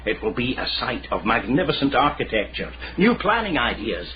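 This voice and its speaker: An actor playing a condescending, quietly menacing Scottish Lord Provost in a radio drama, measured and insinuating. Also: male voice, mid-range voice, sing-song, natural voice. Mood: veiled threat. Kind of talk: period radio drama acting. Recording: muffled, noisy radio recording.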